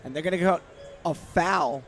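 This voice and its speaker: A man's voice: sits high, big pitch swings, normal speed, vocal fry, a bit bright, faint music behind. A man giving conversational, explanatory color commentary on a live basketball game.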